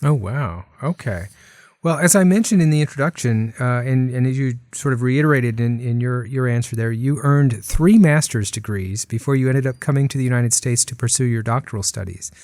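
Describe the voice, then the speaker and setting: A man hosts a university interview program, reading a polished introduction and leading the questions. His tone is mellow and warm, recorded close with a dry sound. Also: medium pitch, fairly quick, no room sound. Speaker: host, college dean. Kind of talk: radio interview hosting.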